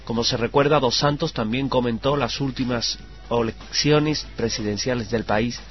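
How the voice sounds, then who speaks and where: A man's voice: medium pitch, normal speed. A man reading scripted news items on a radio show. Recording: dull radio sound with music. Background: background music.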